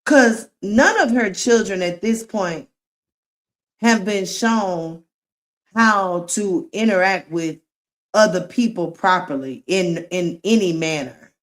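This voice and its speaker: Slow-talking woman livestreamer. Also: mid-range voice. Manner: slow, drawn-out talk, addressing viewers directly. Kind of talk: casual livestream commentary to chat.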